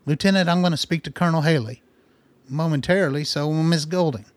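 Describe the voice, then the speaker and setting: Radio drama doctor, male actor: careful peacemaker, fairly high male voice, calm and reasonable, dry studio sound. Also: fast, dry recording, lightly acted. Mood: measured, placating. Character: measured, conciliatory male doctor, calming tense situations. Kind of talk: radio play acting.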